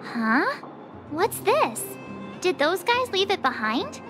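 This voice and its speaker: A woman's voice: very high-pitched, very animated, takes it slowly, over music, heavily acted. A woman playing a perky, curious teenage girl adventurer in an anime-style video game, bubbly and exclamatory. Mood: startled.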